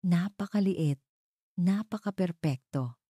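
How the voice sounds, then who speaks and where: A woman's voice: fairly low pitch, slow, dark tone, breathy edge, no echo, clean studio sound. A woman giving dramatic scripted narration of a story.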